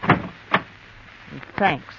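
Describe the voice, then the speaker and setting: An actress playing a cunning, brazen female criminal in a theatrical 1940s radio drama. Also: extremely slow. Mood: cold, triumphant. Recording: noisy muffled vintage radio recording.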